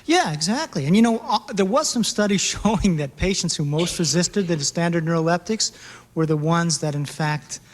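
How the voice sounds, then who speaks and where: A man's voice: fairly high pitch, normal speed. A man answering audience questions at a public talk, thinking aloud with many fillers. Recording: reverberant hall.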